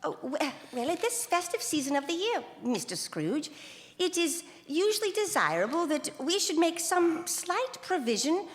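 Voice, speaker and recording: Woman acting a high-voiced, flustered charity collector. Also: big pitch swings, normal speed. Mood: polite, flustered. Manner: hesitant, courteous, formal. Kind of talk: live radio theater acting. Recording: reverberant hall, faint noise.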